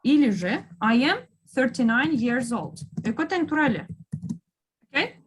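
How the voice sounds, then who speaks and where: A woman's voice: sits high, very animated, normal speed, a little hiss or hum. A woman language teacher. She explains and prompts in an encouraging manner, slipping between languages as she goes. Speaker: English teacher.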